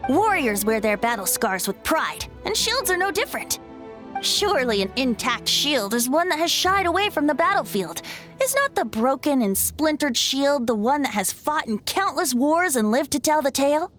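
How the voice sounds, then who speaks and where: A woman's voice: high-pitched, big pitch swings, moderate pace, fairly bright tone. A woman voicing a playful young male bard who makes grand poetic speeches in a video game. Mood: grandiose. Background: game music.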